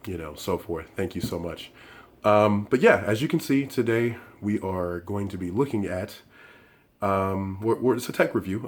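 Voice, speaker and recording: A man with a low, deep voice that sounds hoarse and worn from illness. He talks through a gadget unboxing in a loose, rambling way, full of ums and restarts, like a channel host chatting to his viewers. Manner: rambling, lots of fillers and restarts. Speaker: tech reviewer and channel host. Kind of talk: casual unboxing and review talk.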